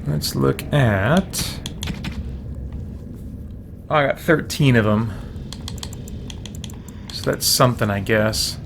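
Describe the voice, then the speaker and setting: Man, medium pitch, casual gameplay commentary, musing to himself, noticeably noisy recording with typing. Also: slow pace, gravelly, pauses often. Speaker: video game streamer. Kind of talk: casual let's play commentary, thinking aloud.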